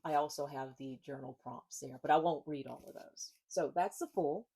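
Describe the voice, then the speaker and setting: A woman author speaking informally and reading expressively from her book, with deliberate, emphatic phrasing and pauses. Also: sits low, vocal fry, dark tone, husky.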